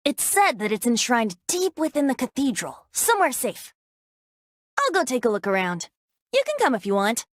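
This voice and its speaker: A woman's voice: a little high, huge pitch swings, moderate pace, no echo, studio quality. A woman voicing a cheeky, boastful young male bard in a video game, playful and theatrical in delivery. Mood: confident, playful. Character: cheeky, boastful androgynous boy bard, secretly a god. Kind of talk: English video game voice acting.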